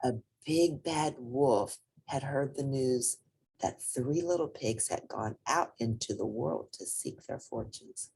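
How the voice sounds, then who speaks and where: A woman reading a children's story aloud expressively, with drawn-out emphasis and playful asides. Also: very deep voice, vocal fry, a little mellow, breathy edge, rough recording.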